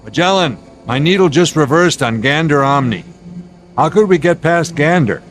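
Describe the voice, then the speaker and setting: An actor playing a middle-aged airline captain, uneasy but steady, in a radio drama. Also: male voice, fairly high pitch, moderate pace, soft background music. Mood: urgent, commanding.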